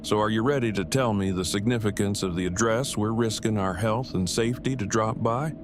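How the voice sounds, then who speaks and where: An actor playing Eugene, a verbose, bookish and affectionate apocalypse survivor, speaking formally and precisely in an audio drama. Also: male voice, fairly low pitch, fairly quick, close to an everyday voice. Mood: curious, measured. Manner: formal, wordy, precise diction. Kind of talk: fan audio drama acting. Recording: clearly noisy, with ambience behind.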